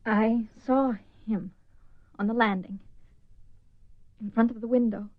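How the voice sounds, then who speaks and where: Grieving young woman for radio drama: highish, plaintive, anxious, dry muffled sound. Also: steady tone, a little slow, no echo. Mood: frightened. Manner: plaintive, emotional. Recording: dry, slightly muffled radio play recording.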